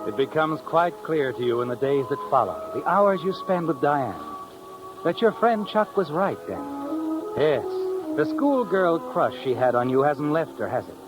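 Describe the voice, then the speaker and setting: A man's voice: fairly high pitch, very animated, normal speed, low-quality recording. Insinuating 1950s radio suspense narrator man. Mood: knowing, suspenseful. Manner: knowing, needling, with tag questions. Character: suave, insinuating male narrator addressing the protagonist as "you". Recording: old radio recording with music.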